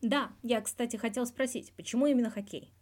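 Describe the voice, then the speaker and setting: A woman voicing a calm male interviewer who asks direct, brief questions in a scripted fan fiction audio reading. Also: a little high, a little fast, natural voice. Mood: curious.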